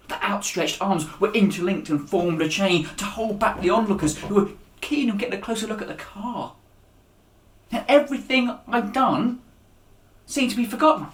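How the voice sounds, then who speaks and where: A man reading a children's story aloud as its author, with a dramatic, expressive delivery that rises and drops to a hush. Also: high voice. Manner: dramatic, with raised voices for dialogue and hushed endings. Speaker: author reading his own children's book. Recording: echoey room.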